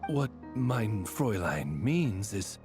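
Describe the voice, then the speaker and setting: A man's voice: fairly low pitch, sing-song, slow pace, a little mellow. A man voicing a loyal, formal male raven familiar who speaks with courtly phrasing. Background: game music.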